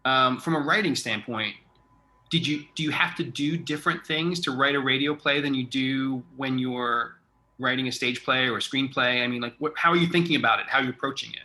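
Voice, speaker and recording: A man's voice: medium pitch, a little fast, sharp tone, rough recording. A man hosting a casual conversational interview as a theatre director, asking questions and responding informally.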